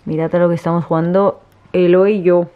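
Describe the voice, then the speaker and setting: Low-voiced woman chatting at dinner. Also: flat delivery, a little fast. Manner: chatty, restarts often, soft. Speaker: family vlogger. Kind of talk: casual chat to camera while eating. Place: small room at a dinner table, indoors. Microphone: camera on the table at close range. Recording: tinny, phone-like sound.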